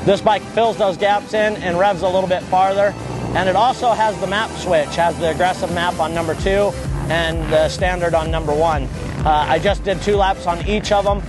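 A man, a magazine test rider, giving a casual review of a bike, halting often on filler sounds. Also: sits high, fairly even intonation, rough recording. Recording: music bed behind voice.

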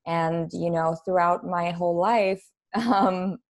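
Low-pitched woman, informal storyteller. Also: fairly even intonation, slow, a little mellow. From Poland. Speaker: podcast guest, human design expert. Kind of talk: casual interview chat. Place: plain room at home, on a video call.

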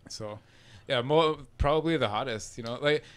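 Smooth-voiced rambling podcast co-host. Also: male voice, medium pitch, moderate pace. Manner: rambling, hedging, chuckles often. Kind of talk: casual chat.

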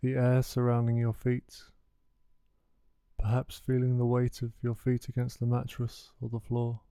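A man leading a guided meditation, giving soothing, unhurried instructions with gentle pauses. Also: mid-range voice, monotone, clean tone, no echo. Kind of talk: guided body scan meditation.

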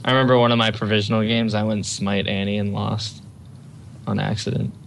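Low gravelly young man on call. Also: flat delivery, fairly quick, a little hiss or hum. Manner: laid-back, complaining gamer talk. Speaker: friend on voice call. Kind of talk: casual gaming chat.

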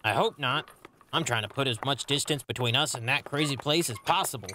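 A man's voice: mid-range voice, brisk, pushed and bright, slight background noise, lightly acted. A man voicing a grumpy, greedy, scheming cartoon creature in a comic dub, complaining indignantly. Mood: grumbling. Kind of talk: comic book dub acting.

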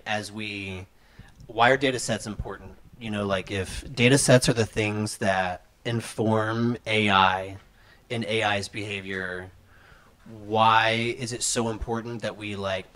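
Low-voiced man hosting a casual chat. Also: measured pace. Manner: relaxed, rambling, many fillers. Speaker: host. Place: living room.

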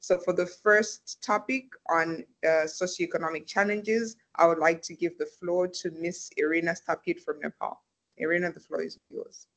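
A woman moderating an online panel, introducing speakers in a relaxed, friendly way. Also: on the low side, normal speed. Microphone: video call mic.

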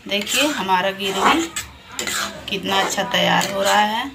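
A woman talks a home cook through a recipe, calmly walking through each step. Her delivery is slow and patient, and she keeps drawing attention to what is in the pan. Loud sizzling from the pan sits under every word. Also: mid-range voice, gravelly. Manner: patient, repeats "dekhiye" to point things out. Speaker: home cooking channel host. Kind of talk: step-by-step cooking demonstration voice-over. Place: home kitchen over a frying pan. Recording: loud frying noise under the voice. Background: loud sizzling and stirring of a pan.

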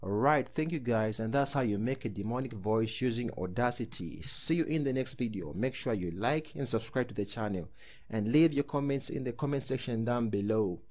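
A man's voice: mid-range voice, normal speed, darkish tone. A man narrating a step-by-step tutorial as its host.

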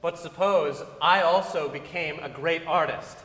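A man's voice: fairly high pitch, unhurried, fairly bright tone. A man giving a dramatic reading and narration on the stage of a large concert hall. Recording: echoey concert hall, long reverb.

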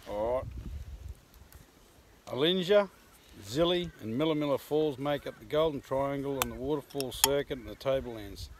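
A man's voice: mid-range voice, normal speed, long pauses. A middle-aged Australian man in casual, joking chat while tasting beer with mates outdoors, reading can blurbs aloud and swearing now and then. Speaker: pub crawl channel co-host. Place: outdoors in dry bushland. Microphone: camera held at arm's length. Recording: outdoor recording with clear background noise.

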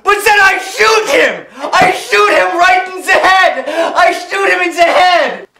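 A performer playing an excitable, boastful, bloodthirsty First World War German fighter pilot in a comedy sketch. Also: medium pitch, normal speed, roomy sound, over a noisy crowd, close to an everyday voice. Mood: gleeful shouting. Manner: boastful, excitable, storytelling with shouted outbursts.

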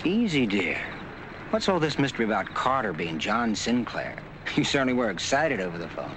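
A man's voice: lowish voice, sing-song, normal speed, background noise. An actor playing a young doctor in a 1940s film, hurt and cutting with the woman he loves. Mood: affectionate. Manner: warm then cutting. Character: young male doctor, earnest then bitter. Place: outdoor park bench at night on a film set. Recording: old film soundtrack with music.

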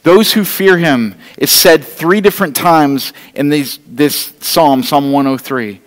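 A middle-aged man preaches to his congregation in an earnest, pleading tone, his voice sitting a bit high for a man. The sound carries the long reverb of a church hall. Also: slow. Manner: walks the stage holding an open Bible. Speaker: pastor. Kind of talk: sermon. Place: church stage, large room indoors.

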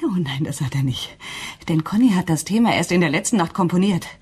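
A distraught wife in a radio crime play. Her low voice is very breathy and husky, swinging widely in pitch. She speaks haltingly and intensely, with quiet accusation. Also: expressive. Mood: accusing. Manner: halting, intense, often dropping to a whisper. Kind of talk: German radio crime drama acting.

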